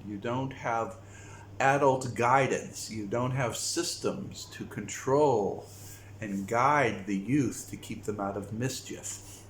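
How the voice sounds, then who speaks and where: Slow-talking American man in an echoey room. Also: medium pitch. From United States. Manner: slow, reflective, with frequent pauses. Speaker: vlogger. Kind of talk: casual talk to camera. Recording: echoey room with faint noise.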